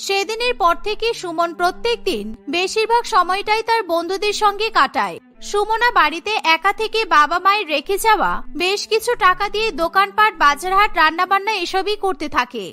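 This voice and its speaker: Very high, bright female story narrator. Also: expressive, a little fast, faint music behind. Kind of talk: scripted cartoon story narration.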